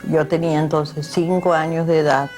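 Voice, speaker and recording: A man's voice: a little high, level tone, normal speed, a little mellow, faint music behind. An older man interviewed for a documentary, reminiscing about childhood.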